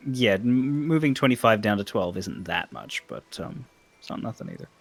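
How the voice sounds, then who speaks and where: A man's voice: fairly low pitch, expressive, moderate pace, vocal fry. A man giving casual game commentary, explaining things hesitantly as he thinks aloud. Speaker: stream co-host guiding a player.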